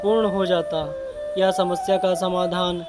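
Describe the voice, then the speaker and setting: A man narrating a scripted moral story with deliberate, halting phrasing. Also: sits high, little pitch movement. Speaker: storyteller for a channel. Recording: loud music behind the voice. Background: loud music.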